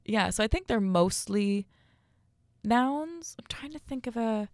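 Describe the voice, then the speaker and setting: A woman's voice: on the high side, lively intonation, unhurried, dry recording, studio quality. A woman chatting casually about languages as a radio show panelist, hesitant and often apologetic as she corrects herself.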